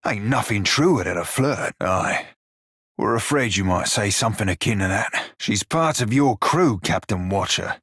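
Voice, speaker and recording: Husky salty rogue for a video game, flirty n folksy, really dry close sound. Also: male voice, on the low side, animated, measured pace, a bit bright, no echo, exaggerated voice. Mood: casual, defensive. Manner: salty pirate dialect, colloquial. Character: roguish blue-furred psychic pirate, flirty, salty, good-hearted. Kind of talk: video game character dialogue.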